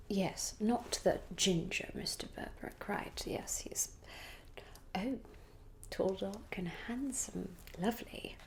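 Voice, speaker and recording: A woman voicing a genteel, gossipy Regency lady in a soft ASMR roleplay whisper, musing politely and hesitantly. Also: medium pitch. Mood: musing, curious. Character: genteel, gossipy young Regency lady planning a matchmaking guest list.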